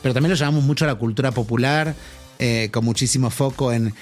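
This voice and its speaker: A middle-aged Argentine man talks through his answers in a podcast interview. He often restarts and fills his pauses. His voice sits in a middle pitch, with faint music behind him. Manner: thoughtful, many fillers and restarts. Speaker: marketing executive guest.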